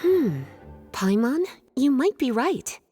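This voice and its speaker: A woman voicing a poised, teasing, bookish librarian in an anime-style video game, speaking in a coy, articulate, measured manner. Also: sits high, big pitch swings, mellow, heavily acted. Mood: thoughtful. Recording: music behind the voice.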